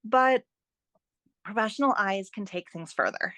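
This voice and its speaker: A woman guest in a conversational interview, giving advice in an animated, emphatic and encouraging way with playful asides. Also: mid-range voice, unhurried, no room sound. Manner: animated, emphatic, repeats phrases for stress. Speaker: podcast guest, writing and editing expert.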